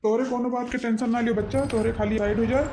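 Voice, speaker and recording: A man's voice: extremely high pitch, little pitch movement, quick pace, mellow, a little hiss or hum. A man voicing a commanding village exorcist holy man in a cartoon comedy, who admonishes and reasons in repetitive phrases. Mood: reassuring. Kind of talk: animated comedy cartoon voice acting in dialect.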